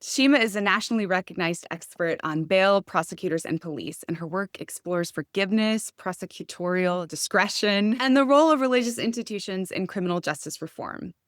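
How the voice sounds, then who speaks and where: A woman hosts an interview show in a mid-pitched voice. She phrases things carefully, as if from prepared notes, and sounds articulate. The recording is dry, with very little room around her. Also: brisk, few pauses, no room sound. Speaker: podcast host. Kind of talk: prepared introduction and interview questions.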